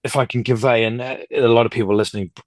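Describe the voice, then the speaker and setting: Deep-voiced middle-aged man, chatting casually. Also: steady tone, fast talker, no room sound. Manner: talkative, rambling, anecdotal, quotes others' speech. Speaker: podcast guest, record collector and dealer. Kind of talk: casual interview chat.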